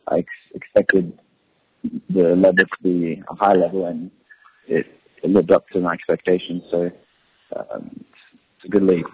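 A young man talks in a low, husky voice, pausing often with ums and uhs as he answers. The sound is thin and tinny, like a phone line. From Australia. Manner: relaxed, hesitant, many ums and uhs. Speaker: professional footballer, guest. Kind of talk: casual interview answers.

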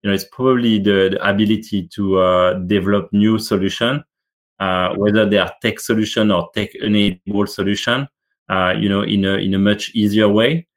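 A man chats as a guest in a casual interview. His voice sits a little low. He hesitates often, filling gaps with fillers and restarting his sentences as he works toward his point. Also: steady tone, normal speed.

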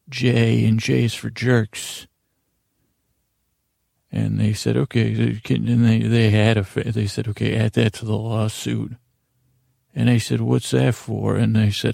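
A man hosting a bedtime podcast in a gravelly voice, with a meandering, halting monologue that keeps interrupting itself and wandering off. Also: fairly low pitch, dark tone, no echo, studio quality. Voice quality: gravelly, creaky. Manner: meandering, halting, self-interrupting, trails off on tangents. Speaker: podcast host.

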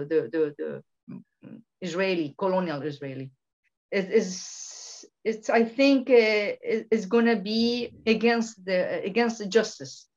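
Woman, from Venezuela, emphatic, halting, lo-fi dull recording. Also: lowish voice, a little mellow. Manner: halting, many restarts and repetitions, emphatic. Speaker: guest, political researcher. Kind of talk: impassioned interview talk. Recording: dull lo-fi sound.

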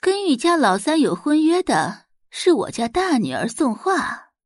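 A woman's voice: sits high, big pitch swings, measured pace, no echo, clean studio sound, pitched above the performer's own voice. A woman voicing a tactful, pointedly polite wealthy mother in an audio drama.

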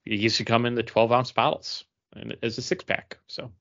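A man's voice: medium pitch, level tone, normal speed, fairly bright tone. A man with a mid-range voice chats casually as a podcast co-host. He wanders through his sentences and corrects himself along the way. The recording is a little dull and lo-fi. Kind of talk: casual chat among friends.

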